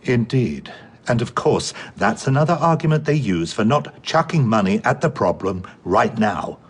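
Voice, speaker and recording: An actor playing a pragmatic, weary research director in a radio drama, firm and measured in manner. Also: male voice, medium pitch, sing-song, a little hiss or hum, natural voice. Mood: weary, frustrated. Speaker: research centre director, professor. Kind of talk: naturalistic radio drama acting.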